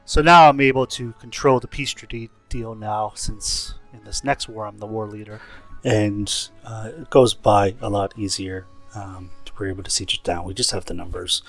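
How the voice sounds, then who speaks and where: Male gameplay commentator, low flat delivery, rambling and explanatory, faint music underneath. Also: normal speed, gravelly. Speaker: video game commentator. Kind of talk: casual gameplay commentary recap. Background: faint background music.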